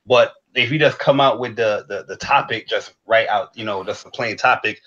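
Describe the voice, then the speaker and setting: A man in casual gaming chat, talking in a laid-back way with relaxed slang. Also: on the low side, moderate pace. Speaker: podcast guest. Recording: dull lo-fi call audio.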